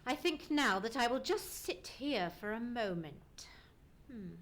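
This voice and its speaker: A woman playing a keen, methodical male detective in an old-time radio play, musing and deliberate, humming as she ponders. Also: on the high side, animated. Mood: musing, intrigued. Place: live theatre stage performance.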